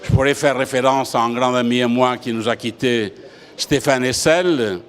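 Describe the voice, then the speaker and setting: Measured older man, interview. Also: medium pitch. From Portugal. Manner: measured, deliberate. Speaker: association president. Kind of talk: interview statement.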